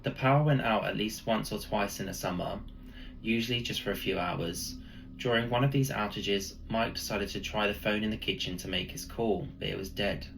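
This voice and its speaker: A man with a gravelly voice reading a spooky first-person story as a storyteller. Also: on the low side, normal speed, music in the background, poor audio. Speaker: storyteller, channel host.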